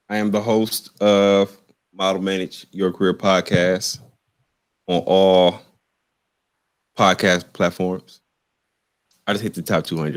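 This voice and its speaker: A man's voice: low-pitched, clear, resonant tone. A man hosting a live podcast over a video call, talking loosely and repeating himself as he rambles. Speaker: podcast host. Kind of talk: casual live-stream chat.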